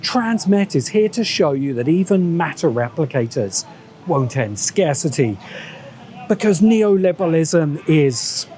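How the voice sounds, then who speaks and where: A man's voice: on the high side, big pitch swings, measured pace, warm tone. A man delivering scripted, opinionated video-essay narration in a sardonic, emphatic manner. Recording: music bed under the voice. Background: background music.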